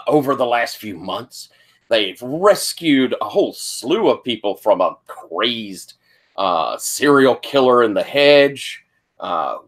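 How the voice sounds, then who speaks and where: Hoarse, sniffly man running a game. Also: mid-range voice, fairly bright tone. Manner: rambling, halting with many fillers. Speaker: game master. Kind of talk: casual tabletop game recap.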